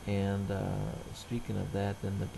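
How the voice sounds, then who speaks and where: A middle-aged man speaks very slowly, in a somewhat low voice with a heavy creak. He rambles and halts as he casually narrates everyday chores, sounding plain-spoken, with faint ambient noise behind him. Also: level tone. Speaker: vlogger.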